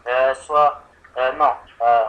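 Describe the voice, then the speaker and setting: Bright-voiced man, hesitant, on the phone. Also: mid-range voice, fairly even intonation, slow pace. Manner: hesitant, rambling, playing confused. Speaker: prank caller posing as an insurance customer. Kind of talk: improvised prank phone call. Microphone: telephone. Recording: tinny telephone line call.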